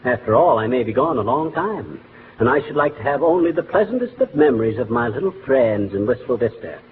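An actor playing a blustery, glib middle-aged husband in a 1940s radio comedy, folksy and self-important. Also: male voice, medium pitch, big pitch swings, brisk, close to an everyday voice. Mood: sentimental, folksy. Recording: tinny, noisy 1940s radio broadcast.

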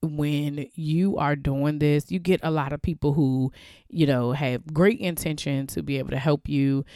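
A woman with a cold, congested and hoarse, talking casually and chattily on her own podcast with a naturally deep voice. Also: steady tone, a little slow, warm tone, no echo, studio quality. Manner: chatty, loose, laughs often, lots of fillers. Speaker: podcast host. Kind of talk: casual solo podcast talk.

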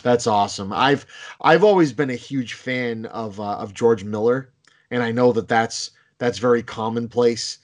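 A man from Boston with a Boston accent, chatting casually in a rambling, animated way with many restarts and casual swearing. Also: mid-range voice, a little slow. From Boston, United States. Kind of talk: casual chat.

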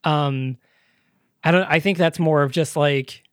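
A man with a high, boyish voice chats loosely as a podcast host. He rambles with lots of fillers and pokes fun at himself. The sound is dry and close. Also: clear, resonant tone, no echo. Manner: quick, rambling, self-deprecating with many likes. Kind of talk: casual podcast chat.

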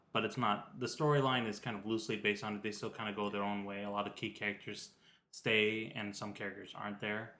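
Young man, co-host, lowish voice, sick with a cold, hesitant and meandering, echoey room. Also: bright, forceful tone. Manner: meandering, hesitant with many ums. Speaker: podcast co-host. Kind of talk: casual chat.

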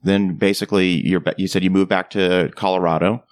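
A man's voice: low-pitched, fairly even intonation, brisk, dry recording. A male podcast host asking curious, conversational questions in a casual interview.

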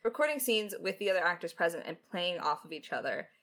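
A woman with a slightly low voice speaks as a lead writer and co-host. Her delivery is conversational and wry, as in a relaxed, informal Q&A. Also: fast. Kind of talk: casual Q&A chat.

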